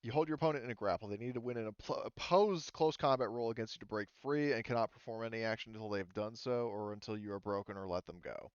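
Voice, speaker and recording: A man running a tabletop roleplaying game, talking casually through the rules and thinking aloud in a halting way. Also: lowish voice, clear, resonant tone, no room sound, lo-fi sound. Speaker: game master.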